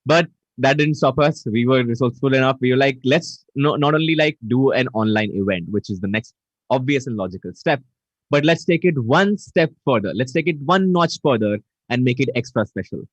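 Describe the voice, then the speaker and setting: A man's voice: medium pitch, a little fast, no room sound. Upbeat man hosting an online event. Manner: energetic, repeats greetings, reads chat aloud. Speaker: event host. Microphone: video call. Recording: dull, dry video call audio.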